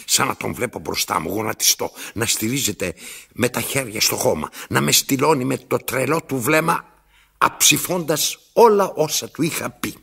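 Gravelly, imperious old man, wildly theatrical. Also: medium pitch, animated, a bit bright, full character voice. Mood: brooding. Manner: pompous, declamatory, with self-interrupting asides. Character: imperious, theatrical old male tyrant telling his story. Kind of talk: radio theatre acting.

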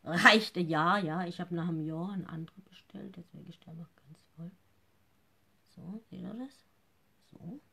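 Husky, chatty woman doing live makeup. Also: on the low side, moderate pace, bright, forceful tone. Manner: chatty, rambling, slightly nervous, often trails off into mumbles. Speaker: makeup seller and live-stream host. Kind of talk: casual live makeup tutorial. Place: living room at home.

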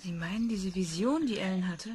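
A woman's voice: mid-range voice, brisk, a little mellow, slight background noise, natural voice. An actress in a radio play voicing a skeptical woman who asks probing questions. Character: female inquisitive skeptic, polite and probing. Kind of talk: German radio play acting.

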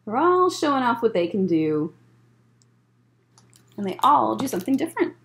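A woman in her thirties reading a picture book aloud at a children's story time, expressive and deliberate, with explanatory asides. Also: on the high side. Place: indoors, library room with bookshelves.

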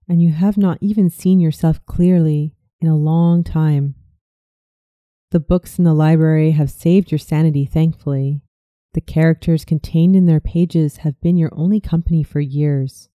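A narrator reading a scripted guided visualization story in a soothing, measured manner. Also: medium pitch, dark tone, no room sound, studio quality.

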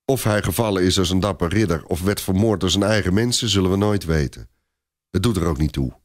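A man's voice: sits low, brisk, dry recording, clean studio sound, close to an everyday voice. A man as a stern, grave commander. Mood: moved, solemn. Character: stern, sombre, tall gaunt medieval commander.

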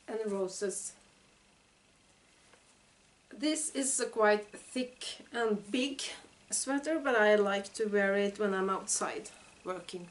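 A woman's voice: mid-range voice, slight background noise, poor audio. A woman in her forties with a Norwegian accent, a knitting vlogger talking casually to the camera at home, hesitant and often searching for words. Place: living room at home.

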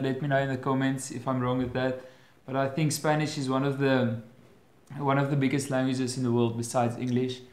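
A man's voice: mid-range voice, darkish tone. Young man, Afrikaans accent, casual webcam vlog, hesitant with many restarts, echoey bedroom. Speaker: vlogger. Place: bedroom at home, indoors. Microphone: webcam at desk.

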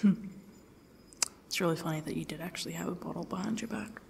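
A woman playing a sarcastic, defiant captive in an ASMR roleplay, swearing and slipping into breathy half-whispers. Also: lowish voice, fast, darkish tone, a little hiss or hum. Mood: suspicious, defiant.

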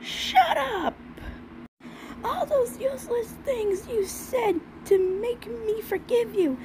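A woman voicing a hot-tempered, bossy young cartoon filly, forceful and exclamatory, in a fan comic dub. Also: very high voice, slow, noisy background. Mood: furious.

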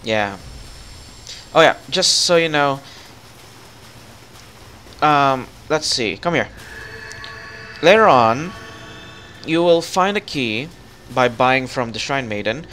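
A man hosting a casual co-op gaming session, calmly guiding his partner through the level. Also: mid-range voice, takes it slowly, pauses often, soft background music. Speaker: channel host playing co-op.

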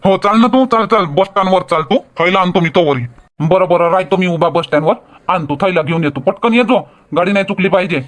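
A man acts out a folksy village man from a homemade comedy cartoon. His voice is pitched high for a man. He talks in chatty, colloquial banter with a Varhadi Marathi accent. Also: brisk, fairly bright tone.

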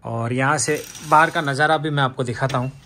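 Man, thirties, from india, chatty vlogger, phone camera in an apartment, mid pitch. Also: steady tone, brisk, bright, forceful tone, a little hiss or hum. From India, raised in Rajasthan. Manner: chatty, guiding viewers room by room. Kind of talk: casual house tour vlog. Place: apartment, indoors.

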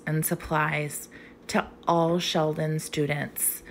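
A woman's voice: on the low side, darkish tone, slight background noise. A young woman teacher reading aloud for young children in an emphatic, theatrical, sing-song way.

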